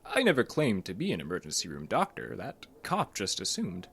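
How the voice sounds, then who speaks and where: An actor playing a concerned psychologist in an audio drama, a man who is earnest, measured and gently pressing. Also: fairly low pitch, wildly swooping pitch, slightly rough, exaggerated voice. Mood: concerned, cautioning. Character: concerned, probing male psychologist, earnest and cautioning.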